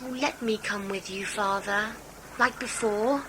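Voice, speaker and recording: A woman's voice: medium pitch, fairly even intonation, measured pace, background noise, natural voice. A woman playing a wilful, yearning young huntress in a sci-fi audio play, impassioned and theatrical. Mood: pleading, yearning.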